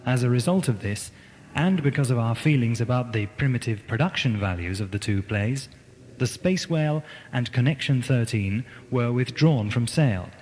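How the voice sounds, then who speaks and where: A man reading a scripted announcement in a formal, measured manner. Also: mid-range voice, expressive, a little mellow. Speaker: announcer for an audio drama producer. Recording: long reverb, faint tape noise.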